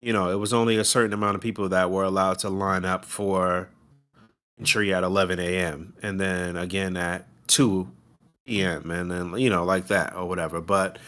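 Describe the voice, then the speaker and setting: A man's voice: low-pitched, fairly even intonation, moderate pace, fairly bright tone. A man co-hosting a podcast in casual, profane, laughing banter. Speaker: podcast co-host. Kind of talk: casual chat.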